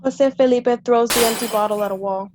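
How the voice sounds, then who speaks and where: A woman's voice: a little high, brisk, background noise. A woman giving scripted narration, reading out the stage directions of a play.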